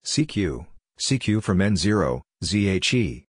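A low-voiced man narrates a practice drill in short scripted phrases. His words are deliberate and separate, and the sound is dry and close, with very little room. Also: sing-song, slightly rough, no room sound. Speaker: Morse code practice narrator.

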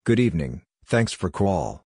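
Deep-voiced man reading practice phrases. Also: big pitch swings, a little mellow, slightly rough, no echo, studio quality. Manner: deliberate, spelling out letters and call signs one by one. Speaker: narrator of a Morse code practice drill. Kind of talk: scripted read-out of short practice phrases.

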